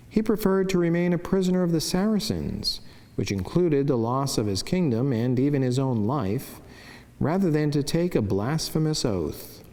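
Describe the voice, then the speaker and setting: A man gives a solemn, measured, formal reading of a scripted religious devotion. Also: mid-range voice, mellow. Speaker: religious narrator reading a saint's life and devotional meditation. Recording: long cavernous reverb.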